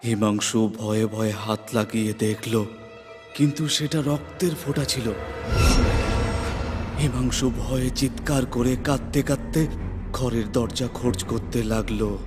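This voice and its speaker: A man narrates scripted horror fiction. His voice sits at a medium pitch and his delivery is dramatic and suspenseful. Music plays clearly underneath the narration. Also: fairly even intonation, unhurried. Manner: suspenseful storytelling.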